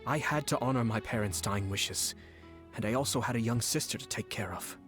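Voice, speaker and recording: An actor playing a composed noble male commissioner who speaks smoothly and courteously, with quiet menace. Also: mid-range voice, a little fast, faint music behind, lightly acted. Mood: solemn. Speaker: clan commissioner. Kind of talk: game voice acting.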